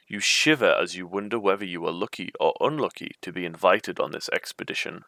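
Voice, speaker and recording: Low, gravelly male storyteller, dry close sound. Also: pushed and bright, no echo. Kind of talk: reading a choose-your-own-adventure book aloud.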